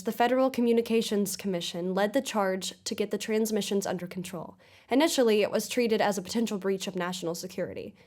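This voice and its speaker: A woman playing an earnest investigative journalist who hosts an audio drama podcast with measured, serious, deliberate scripted narration. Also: mid-range voice, a little mellow, natural voice.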